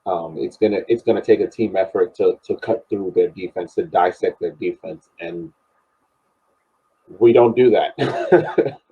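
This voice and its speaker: A man on a podcast panel casually analysing sport, measured and searching for words, on a dull lo-fi connection. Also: deep voice, warm tone, slightly rough.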